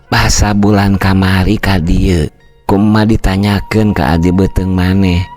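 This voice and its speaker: A man's voice: low voice, little pitch movement, a little slow, darkish tone, faint music behind. An older man storyteller voicing an elderly village mother who speaks in a plain, practical, matter-of-fact way.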